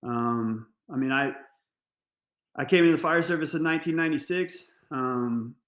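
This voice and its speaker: Podcast guest, male, middle-aged, mid-pitched, reflective, restarts often, echoey room sound. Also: fairly bright tone. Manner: halting, many restarts, earnest and reflective. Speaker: fire service guest. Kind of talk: casual interview chat.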